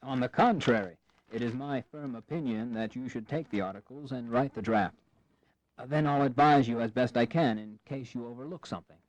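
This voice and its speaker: A male actor as a reluctant, courteous founding-era gentleman in an audio drama. His voice sits at an ordinary male pitch in a dry recording, with formal wording and a hesitant, self-effacing manner. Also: brisk, dry recording, natural voice, poor audio. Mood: flustered, modest. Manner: hesitant, formal, mildly flustered. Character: modest, reluctant, courteous Virginian gentleman statesman. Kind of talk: historical audio drama acting.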